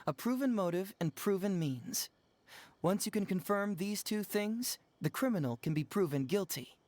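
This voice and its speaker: Composed, articulate young detective. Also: male voice, high-pitched, animated, a little mellow, no room sound. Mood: composed instruction. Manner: measured, articulate, explanatory. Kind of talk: anime-style video game voice acting. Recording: game music behind the voice.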